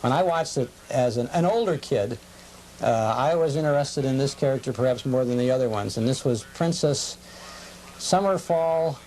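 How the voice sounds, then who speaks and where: A man's voice: medium pitch, moderate pace, a little mellow. A middle-aged American man hosting a conversational interview, asking inquisitive follow-up questions to check details. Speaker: host. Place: television studio. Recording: noisy, deteriorated old cable TV tape.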